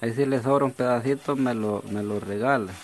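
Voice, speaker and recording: A man chatting casually while filming with a phone in an outdoor rustic kitchen, halting and repeating words. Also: medium pitch, a little hiss or hum. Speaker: off-camera family member filming. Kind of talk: casual family chat. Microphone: handheld phone camera.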